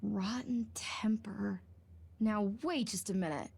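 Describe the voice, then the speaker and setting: A woman's voice: medium pitch, takes it slowly, creaky, a little mellow, breathy edge, exaggerated voice. A woman voicing a wry, cynical female clerk in a video game, with a dry, drawling and sardonic delivery. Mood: amused, wry. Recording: music behind the voice. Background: game music.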